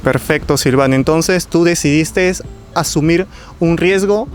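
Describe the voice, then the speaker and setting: A man's voice: on the high side, a little slow, faint music behind. Upbeat male interview host. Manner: upbeat, exclamatory greetings. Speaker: YouTube channel host. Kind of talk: friendly interview hosting.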